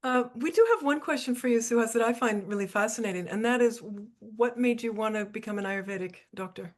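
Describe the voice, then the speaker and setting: A woman's voice: on the high side, brisk. A woman chatting casually as a co-host and course teacher in an interview.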